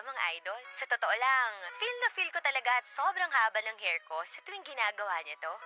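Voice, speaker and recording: A woman's voice: medium pitch, sharp tone. An actress playing a coy, teasing, pampered girlfriend in a Tagalog radio drama, with a needy streak. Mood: confiding narration. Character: playful, needy, pampered girlfriend longing for intimacy.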